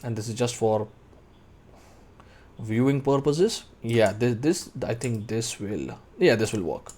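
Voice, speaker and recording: A man's voice: medium pitch, lively intonation, takes it slowly, darkish tone. Man thinking aloud through shortcuts. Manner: thinking aloud while working, calling out shortcuts. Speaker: 3D modeling tutor. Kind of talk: screen-recorded software tutorial.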